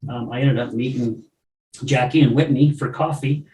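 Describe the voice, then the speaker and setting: A man's voice: medium pitch, steady tone, normal speed, a little mellow. A man hosts a recovery podcast in an easy, enthusiastic way, laughing and chatting freely. His mid-range voice sits back from the mic in a roomy space, with a faint hiss behind it. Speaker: podcast host. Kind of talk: casual chat. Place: room with a live audience. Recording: roomy, from a distance, faint noise.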